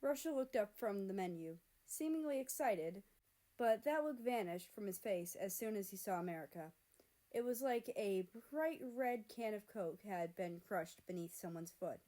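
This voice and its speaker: A woman reading fan fiction aloud as an expressive storyteller. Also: mid-range voice.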